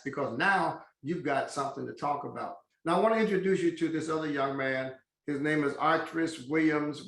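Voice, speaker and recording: A man hosting an interview in a measured, emphatic way, slowing down to stress key phrases. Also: fairly high pitch, a bit bright, roomy sound. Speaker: host.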